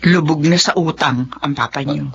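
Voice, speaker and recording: A woman's voice: sits low, moderate pace, full character voice. A woman playing a worried, firm middle-aged wife and mother in a Filipino radio drama, speaking in an earnest, reproachful way. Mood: grave. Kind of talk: Filipino radio melodrama acting. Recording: dull lo-fi audio, clear background noise.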